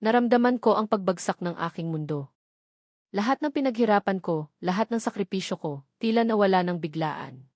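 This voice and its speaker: A woman's voice: mid-range voice, expressive, brisk, a little mellow, dry recording. Filipino woman narrating drama, close and dry. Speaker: story narrator. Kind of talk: dramatic first-person scripted narration.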